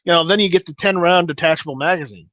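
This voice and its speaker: A man chatting casually as a podcast co-host, joking and quick to tease. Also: a little high, fairly bright tone, dry recording. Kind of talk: casual chat about firearms. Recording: dull lo-fi mic audio.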